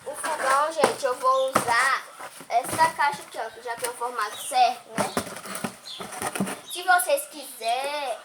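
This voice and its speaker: A woman's voice: high-pitched, a little slow. Chatty young girl making crafts. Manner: chatty, talks to viewers as she cuts and draws. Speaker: crafts vlogger. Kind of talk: casual craft tutorial. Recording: noisy home recording.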